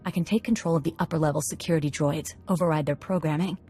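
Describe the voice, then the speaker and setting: A woman's voice: deep voice, fairly even intonation, fast, warm tone, close to an everyday voice. A woman playing a tough, cocky convict hacker in a game cutscene, confident and matter-of-fact. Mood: confident, businesslike. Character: tough, cocky female convict computer slicer.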